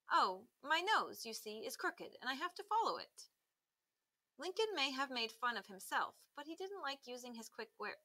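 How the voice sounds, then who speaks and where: Woman, teacher read-aloud, very bright forceful tone, fairly high pitch, careful expressive emphasis. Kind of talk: read-aloud of a children's magazine article.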